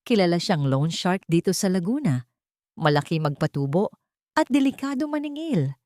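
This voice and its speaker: A woman with a Filipino accent voicing a serious attorney who speaks in a grave, measured manner for an audio story. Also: on the low side, sing-song, a little mellow, no room sound, studio quality. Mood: grave, explaining. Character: serious, informed attorney giving grave news.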